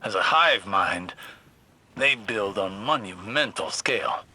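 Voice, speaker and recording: A man playing a grave, scholarly sci-fi researcher in a video game, measured and formal. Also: lowish voice, expressive, sharp tone, slightly rough, heavily acted. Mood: curious, pensive. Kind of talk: scripted video game dialogue. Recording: music behind.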